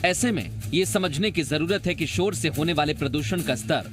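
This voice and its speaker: Higher-pitched male news voice-over over music. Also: expressive, moderate pace. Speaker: news reporter narrating a report. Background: music bed.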